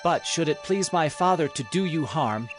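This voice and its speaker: An actor playing a loyal young prince in dramatized scripture, speaking earnestly and reassuringly. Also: male voice, on the high side, expressive, moderate pace, over music, lightly acted. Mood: solemn, earnest. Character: Jonathan, loyal young prince and sworn friend. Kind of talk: dramatized scripture audio acting.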